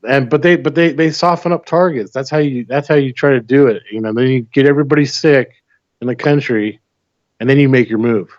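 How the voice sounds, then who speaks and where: A man's voice: medium pitch, fairly quick, dry recording. A man from the United States, a call-in guest chatting casually and pressing his opinions with rhetorical questions over lo-fi call audio. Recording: dull lo-fi call audio, dry.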